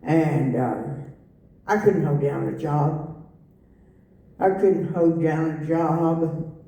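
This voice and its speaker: Halting woman, echoey and distant. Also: low voice, warm tone. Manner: halting, many fillers and restarts. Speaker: testimony speaker. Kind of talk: personal testimony. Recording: echoey room, voice from far off.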